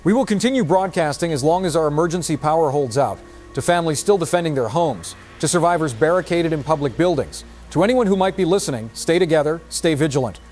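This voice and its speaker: A male actor plays an emergency broadcaster in a radio play. He speaks formally and solemnly in a somewhat high male voice, measured as a news bulletin, with music behind him. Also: animated, a little mellow, natural voice.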